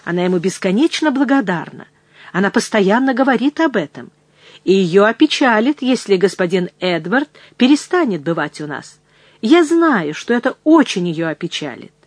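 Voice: medium pitch, big pitch swings, unhurried, husky, no room sound. A narrator voicing a humble yet firm young enslaved woman, earnest and imploring, in an audiobook. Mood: pleading. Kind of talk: dramatised audiobook reading.

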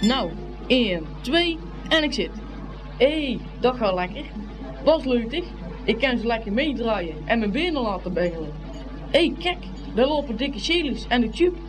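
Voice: mid-range voice, big pitch swings, normal speed, music in the background, natural voice, rough recording. An actor playing a plucky, indignant young schoolboy at a fair, exclaiming and muttering in a radio play. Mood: excited. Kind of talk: Dutch radio play acting.

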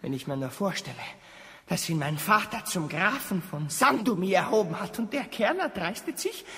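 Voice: mid-range voice, big pitch swings, normal speed, sharp tone. An actor playing a melodramatic fourteen-year-old prince in a farcical radio play, declaiming in mock-heroic style with theatrical exclamations. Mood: indignant. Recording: long cavernous reverb.

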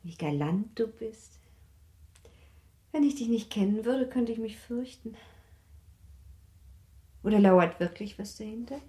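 An actress playing a young baron's wife in a radio play, coquettish yet anxious, with a refined, delicate manner. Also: female voice, on the high side, animated, a little slow, pauses often, warm tone. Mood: coy, playful. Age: young adult. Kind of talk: German radio play acting.

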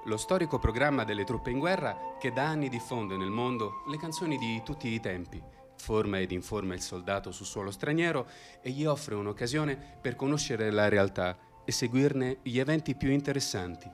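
An actor playing a mock-solemn, pompous military radio announcer who reads scripted satirical bulletins in formal style. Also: male voice, medium pitch, animated, moderate pace, soft background music, close to an everyday voice. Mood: solemn announcing. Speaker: radio presenter.

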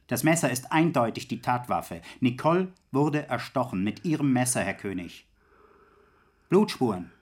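An actor playing a coarse, bullying police inspector in a radio drama, gruff and impatient, hammering his phrases home. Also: mid-range voice. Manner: gruff, cursing, hammering repetition.

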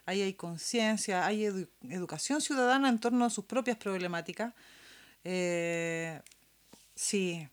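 A woman's voice: medium pitch, a little slow. A woman with a Chilean accent co-hosting a casual political discussion, speaking colloquially and repeating words for emphasis. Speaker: podcast co-host.

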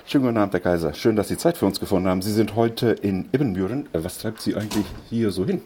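A man's voice: fairly low pitch, fast, dark tone, slight background noise. A middle-aged man hosting a radio interview, asking questions formally and politely, with hesitant fillers. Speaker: radio host.

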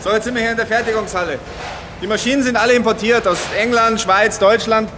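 An actor playing a formal, didactic rocket engineer in a naturalistic radio drama. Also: male voice, sits high, fairly even intonation, quick pace, lightly acted. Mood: brisk, instructive. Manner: didactic, formal, technical explaining. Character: brisk male German rocket engineer showing a new secretary around. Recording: noisy background of factory and corridors.

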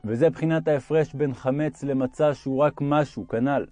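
A man's voice: mid-range voice, dark tone, dry recording, faint music behind. A man reading a religious text aloud as an audiobook narrator.

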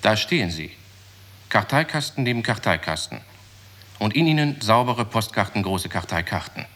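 An actor playing a fussy, officious and eager records clerk in a 1960s radio play. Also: male voice, lowish voice, animated, normal speed, fairly bright tone. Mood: matter-of-fact. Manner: matter-of-fact, fussy, officious. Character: brisk, pedantic, eager male records clerk.

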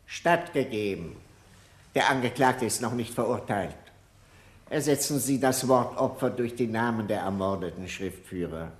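An actor playing a stern judge in a radio crime drama, giving curt, authoritative rulings. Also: male voice, medium pitch, lively intonation, normal speed, reverberant, slight background noise, close to an everyday voice. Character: stern male judge presiding over a murder trial.

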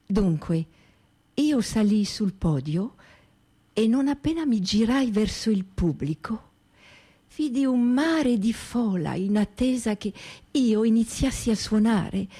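An actress playing a reflective woman storyteller in radio theatre, speaking in a theatrical, deliberate manner. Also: medium pitch, animated, mellow, husky. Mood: intimate storytelling.

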